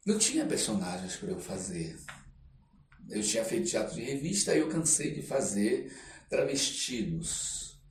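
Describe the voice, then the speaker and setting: A man's voice: lowish voice, sing-song, normal speed. Older Brazilian man in a roomy recording. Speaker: interview guest, artist. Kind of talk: conversational storytelling. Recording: roomy, distant mic, faint noise.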